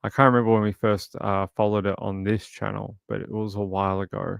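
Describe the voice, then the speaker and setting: A man talks over a true crime case to his livestream audience in a low, gravelly, crackly voice. He speaks haltingly, with plenty of "uh" and restarts. The sound is close and dry, with no room around it. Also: level tone, no room sound. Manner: halting, many fillers and restarts. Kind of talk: casual livestream commentary, reading chat.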